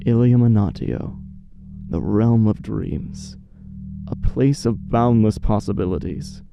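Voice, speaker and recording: A man playing a cold, grandiose villain who rules a dream realm, speaking with measured menace in an audio drama. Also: on the low side, creaky, heavily acted.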